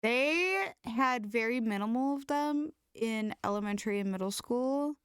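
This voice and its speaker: An American woman co-hosting a casual podcast, telling stories in an animated, slangy way with plenty of swearing. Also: fairly high pitch, unhurried, clean tone, dry recording, studio quality. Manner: animated, profane, slangy storytelling. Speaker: podcast co-host. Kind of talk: casual chat, reading funny stories aloud.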